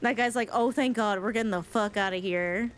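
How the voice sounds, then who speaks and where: A woman's voice: mid-range voice, a little fast. A woman streamer commenting casually and fondly on a game, swearing now and then. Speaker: streamer reacting to game cutscenes.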